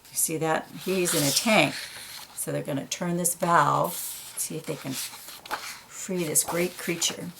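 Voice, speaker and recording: A woman reading a children's picture book aloud, pausing for quiet asides about the pictures. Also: fairly low pitch, vocal fry, slight background noise. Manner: slow, gentle, pointing out pictures in quiet asides.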